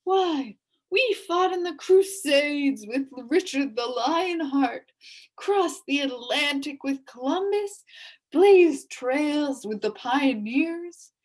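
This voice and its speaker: A woman voicing a pompous, blustering beetle-like male humbug who speaks in a booming, bombastic way. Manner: booming, bombastic, self-important.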